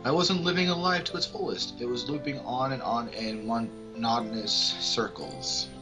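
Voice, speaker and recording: A man's voice: mid-range voice, normal speed. A man casually reading a visual novel's narration aloud as an amateur let's-player. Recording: music behind the voice. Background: game music.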